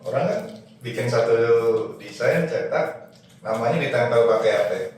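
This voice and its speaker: A man's voice: medium pitch, animated, moderate pace, a little mellow, slight background noise. A man with a Jakarta Indonesian accent giving casual interview advice, informally explaining things with quoted examples and rhetorical questions. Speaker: interview guest, creative industry professional. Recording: very echoey room, distant mic, music behind.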